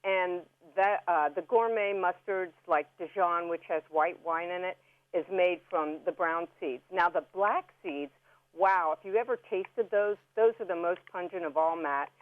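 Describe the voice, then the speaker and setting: A woman's voice: on the low side, measured pace, no echo. A woman guest on a radio interview, explaining food and plants in a chatty, instructive way. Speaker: guest food and herb expert. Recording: phone-like narrow band radio call.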